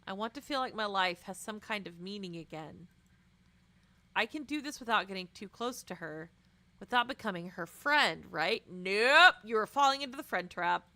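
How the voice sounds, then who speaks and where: A young woman streamer reading a visual novel aloud expressively, with dramatic, casual, animated asides. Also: medium pitch, moderate pace.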